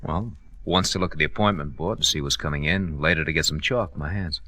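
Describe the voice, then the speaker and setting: A very low, quick male voice plays a new gym trainer. He is plain and matter-of-fact in a 1950s radio style, recorded dry and close. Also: pushed and bright, no echo. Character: quiet male weightlifting trainer, new on the job. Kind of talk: 1950s radio drama acting.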